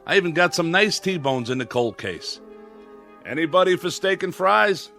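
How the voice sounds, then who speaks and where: A talkative roadside diner owner in a radio drama. His voice is bright and swings freely in pitch. He is plain-spoken, folksy and inquisitive, over faint music. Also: lively intonation, moderate pace, creaky, exaggerated voice. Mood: hospitable, breezy. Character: chatty, curious male owner of a roadside diner. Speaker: actor in a radio play.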